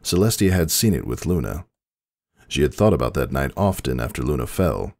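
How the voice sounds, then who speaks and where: A man's voice: a bass voice, sing-song, brisk, creaky, a little mellow, dry recording, clean studio sound. A man narrating fiction as a dramatic scripted reading.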